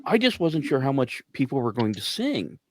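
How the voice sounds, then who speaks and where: A man's voice: mid-range voice, big pitch swings, moderate pace. A man who directs radio plays, chatting casually in a group and often stammering and repeating words. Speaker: producer and director of a radio play.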